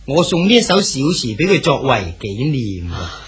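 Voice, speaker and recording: A genial old-time scholar official. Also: male voice, mid-range voice, big pitch swings, husky. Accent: Cantonese. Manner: measured, declaims poetry slowly. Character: Song dynasty poet official, genial and cultured. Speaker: Su Dongpo character in a radio drama. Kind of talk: 1980s style Cantonese radio drama acting.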